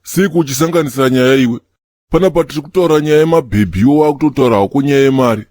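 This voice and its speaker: A man voicing a boastful Rasta ladies' man with a Zimbabwean accent in slangy comic cartoon banter, teasing and quick to snap. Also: medium pitch, a little mellow, dry recording, lightly acted. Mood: irritated. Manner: bragging, teasing.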